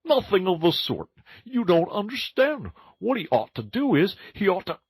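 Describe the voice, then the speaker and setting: A man's voice: high-pitched, wildly swooping pitch, moderate pace, husky, dry recording, voice pushed up, heavily acted. A man doing a fretful, complaining mole. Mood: emphatic. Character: fretful, frustrated male mole.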